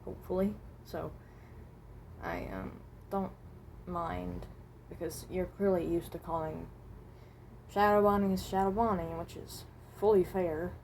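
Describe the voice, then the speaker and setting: A woman voicing a reluctant, resigned animatronic rabbit from another dimension in a fan roleplay, speaking haltingly and correcting herself. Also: lowish voice, lively intonation, darkish tone, a little hiss or hum, rough recording. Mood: resigned, wary. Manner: hesitant, halting, self-correcting.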